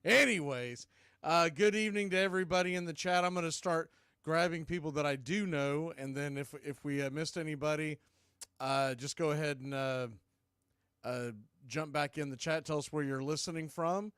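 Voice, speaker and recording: A man's voice: a little high, moderate pace, fairly bright tone, clean tone, dry recording, studio quality. A man hosting a live baseball stream, doing casual play-by-play and chatting with listeners in a folksy, informal way. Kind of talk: casual live sports commentary.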